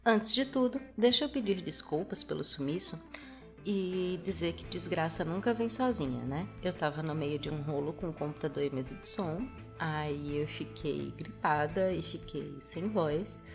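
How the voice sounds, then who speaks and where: A woman's voice: sits low, lively intonation, moderate pace, over music. A woman podcast host with a Brazilian Portuguese accent, chatting casually and sounding a bit nasal from a cold she is getting over. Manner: chatty, self-correcting. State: recovering from a cold, slightly nasal. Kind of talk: casual solo podcast chat.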